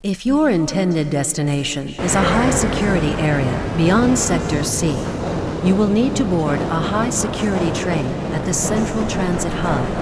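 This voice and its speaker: A woman playing a polite, impersonal automated transit announcer in a video game, measured and formal. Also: fairly low pitch, very noisy, close to an everyday voice. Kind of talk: scripted public-address announcement.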